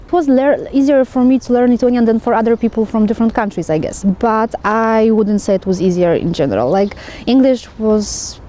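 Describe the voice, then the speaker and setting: Mellow-voiced woman talking thoughtfully. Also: fairly high pitch, a little fast, hardly any pauses. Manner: thoughtful, uses filler "like". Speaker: foreign resident interviewee. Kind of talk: casual interview answer.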